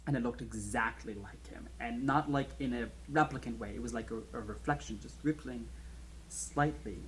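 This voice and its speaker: A man performs a talkative kayak rescuer telling a horror story as if to a friend. He is wry and informal, halting for suspense as he recounts a strange ordeal in a drama monologue. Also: mid-range voice, slight background noise, close to an everyday voice, rough recording. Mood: hushed dread. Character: chatty kayak rescuer recounting an eerie near-drowning.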